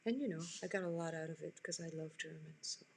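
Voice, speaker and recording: A woman's voice: deep voice, quick pace, creaky, a little mellow, slight background noise. Chatty woman hosting a live drawing stream. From France. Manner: chatty, answers viewer comments. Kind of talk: casual chat while drawing. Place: home room, indoors.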